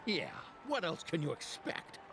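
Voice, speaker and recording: A man's voice: on the high side, huge pitch swings, unhurried, full character voice. A man voicing a cynical moviegoer who scoffs and dismisses everything, in a game with music behind him. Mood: scoffing. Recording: game audio over loud music.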